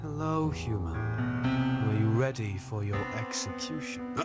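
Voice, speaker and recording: A menacing creature played by an actor in a fantasy visual novel. The delivery is drawn out and lively in pitch. Loud music runs underneath. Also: slow pace. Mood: mock menacing. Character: menacing non-human judge figure addressing a human. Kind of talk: visual novel character acting.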